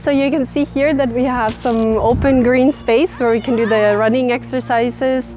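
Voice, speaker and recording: A woman's voice: a little high, fairly even intonation, normal speed, a little mellow, rough recording. A woman from Austria with an Austrian German accent, chatting outdoors as she runs trails and guides a tour. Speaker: runner guiding a trail tour. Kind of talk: casual walk-and-talk to camera. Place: outdoors, forest trails and park.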